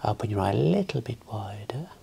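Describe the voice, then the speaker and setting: A man speaking softly, mostly in a whisper, calmly giving reassuring instructions as an optometrist in an ASMR eye exam roleplay. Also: fairly low pitch, a little fast, vocal fry, slightly rough.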